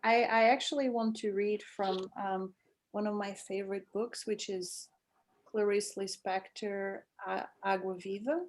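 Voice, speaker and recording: A woman's voice: mid-range voice, steady tone, unhurried. Hesitant woman from Portugal on a call. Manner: hesitant, many ums and restarts. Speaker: guest. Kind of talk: casual video-call chat. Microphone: video call.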